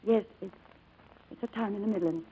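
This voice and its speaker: A woman playing a devoted, quietly sorrowful secretary secretly married to her boss, in 1940s radio drama style. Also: mid-range voice, a little fast, a little hiss or hum, close to an everyday voice. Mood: polite, restrained. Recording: muffled old radio broadcast recording.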